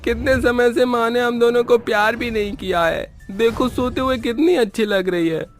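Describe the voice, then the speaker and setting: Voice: mid-range voice, moderate pace, soft background music, close to an everyday voice. A performer voicing a little ghost boy with childlike longing for his mother in a cartoon story. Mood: wistful, eager.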